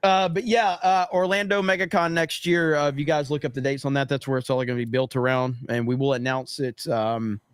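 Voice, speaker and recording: A man co-hosting a live stream, excitable and quick to laugh, making plans for a fan meetup. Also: mid-range voice, smooth, no echo, clean studio sound. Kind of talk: casual live-stream chat.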